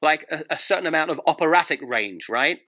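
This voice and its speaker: Male interviewer, bright and fairly high, casual and attentive, with tentative questions and short agreements. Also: lively intonation, fairly quick.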